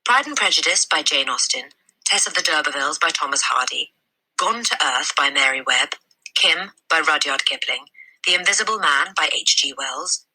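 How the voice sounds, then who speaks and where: A woman reading a children's story aloud as a teacher, with deliberate, expressive narration. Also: low voice, breathy edge, poor audio.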